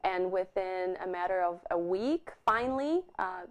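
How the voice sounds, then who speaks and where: A woman's voice: lowish voice, normal speed. A woman from Poland, a guest in a studio interview, telling childhood stories with frequent laughter. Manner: laughs often, animated storytelling. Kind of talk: casual interview storytelling. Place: television studio.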